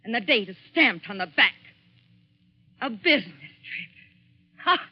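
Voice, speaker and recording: A woman's voice: fairly high pitch, expressive, slow, breathy edge, full character voice. An actress playing a bitter jilted woman, coolly vengeful, wounded and accusing, in 1940s radio suspense style. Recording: dull lo-fi 1940s radio broadcast.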